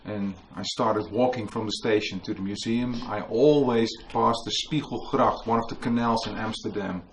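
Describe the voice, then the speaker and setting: Low-voiced Dutch man telling a story. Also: normal speed, a little hiss or hum, low-quality recording. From Netherlands. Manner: hesitant, searching for English words, many fillers. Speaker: author giving a book talk. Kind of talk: storytelling lecture to an audience. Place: museum hall with a live audience.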